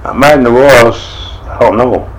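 A man's voice: medium pitch, normal speed, low-quality recording. A man in his sixties answering interview questions in short, hesitant replies. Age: 60s.

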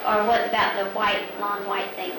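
A woman's voice: medium pitch, level tone, normal speed. Coaxing woman interviewer, echoey and distant. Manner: patient, coaxing questions. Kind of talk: prompting word questions. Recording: echoey, distant, noisy recording.

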